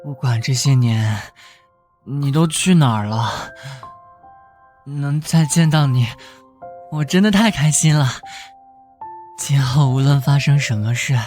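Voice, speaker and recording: A man's voice: mid-range voice, slow pace, long pauses, a little mellow, natural voice. A man playing an earnest, caring young male detective and protective older brother in an animation dub. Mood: tipsy, heartfelt. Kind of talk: Mandarin animation dub acting with inner monologue. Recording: background music under the voice.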